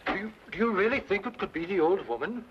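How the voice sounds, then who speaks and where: Flustered prim English gentleman, British accent, very animated pitch, exclamatory, vintage radio drama, hissy old recording. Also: fast talker, muffled recording. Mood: flustered, disbelieving. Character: fussy, flustered, indignant English gentleman householder.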